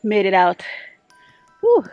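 Jamaican lady walking and vlogging on her phone outside, playful, slow easy talk. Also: mid-range voice, wildly swooping pitch, darkish tone, dry recording, highs cut off, soft background music. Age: 40s. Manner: playful, laughing asides. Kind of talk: casual walking vlog. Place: outdoors in rural bush and roadside. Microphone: handheld phone camera.

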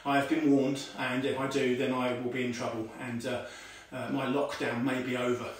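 Karate instructor in an echoey room. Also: male voice, medium pitch, normal speed, recorded from a distance. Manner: talks while demonstrating moves, calls out commands; many "okay"s and fillers, relaxed teaching tone. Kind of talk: online martial arts lesson. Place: home room with tiled floor. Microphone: far camera on a stand.

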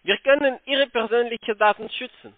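A man with a foreign accent, not a native speaker, making a halting phone sales pitch with frequent tag questions. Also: steady tone, dry recording. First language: not German. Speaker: telephone sales caller pitching investments. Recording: narrow tinny phone line.

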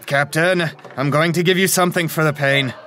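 An actor playing a brisk, authoritative and patronising army doctor with a pompous, commanding manner. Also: male voice, on the high side, fairly even intonation, sharp tone, a little hiss or hum. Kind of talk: audio drama acting.

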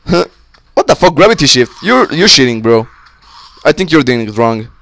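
A young man with a slightly sick voice, giving casual, excitable commentary on a co-op game with friends on a close mic. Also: mid-range voice, normal speed, creaky, dry recording. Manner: excitable, swearing, joking with friends. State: sick, voice a little off. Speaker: gameplay commentator. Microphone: close-up desk mic.

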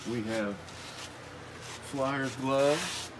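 A middle-aged man talks slowly and casually as he tours a warehouse, naming items and repeating himself. His voice sits mid-range for a man. It's captured on a phone camera with clear background noise. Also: mid-range voice. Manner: casual, halting, repeats item names. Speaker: warehouse tour guide. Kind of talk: walkthrough listing inventory. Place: large indoor warehouse. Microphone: handheld phone camera nearby. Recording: noisy warehouse phone video.

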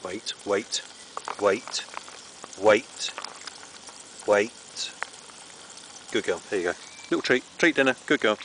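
A man's voice: on the low side, animated, slow, pauses often, a bit bright, low-quality recording. A middle-aged man chatting casually to the camera as he presents outdoor cooking. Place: outdoors on a grassy riverbank under trees. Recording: outdoor camera audio with clear background noise.

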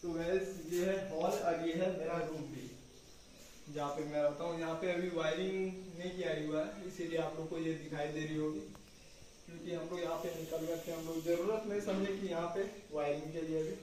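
A man's voice: fairly high pitch, steady tone, moderate pace, a little mellow, slight background noise. A young man giving a casual, chatty house tour as he walks through the rooms and talks to whoever is filming him. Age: young adult. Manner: chatty, walks while talking to a filming sister. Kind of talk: casual home tour vlog. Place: small concrete house, bare rooms and rooftop. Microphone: camera held by someone else, a few steps away. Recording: echoey bare rooms, distant camera mic.

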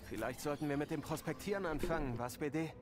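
An actor playing an eager, upbeat adventurer in a video game, talking briskly to a companion. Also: male voice, on the high side, noisy background, lightly acted. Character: brisk, upbeat male adventurer chatting with his companion droid. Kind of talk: video game character dialogue.

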